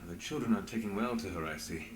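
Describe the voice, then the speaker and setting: A world-weary male leader, acted by a man in a fantasy game, with a lowish voice and a dry, restrained manner. It echoes over faint-to-clear ambient noise. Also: quick pace, close to an everyday voice. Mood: guarded, somber. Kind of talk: dramatic video game voice acting.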